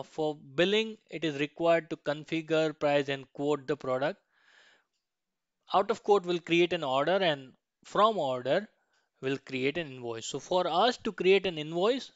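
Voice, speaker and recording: Higher-pitched man teaching, clear voice. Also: no echo, dull audio. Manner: instructional, frequent checking tags like "right?" and "okay". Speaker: software tutorial instructor. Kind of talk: explanatory slide and screen-share tutorial.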